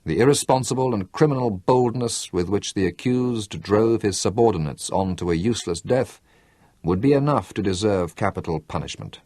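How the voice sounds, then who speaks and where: Stern court-martial president, formal, low and husky, read by a man. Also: expressive, moderate pace, darkish tone, no room sound, studio quality.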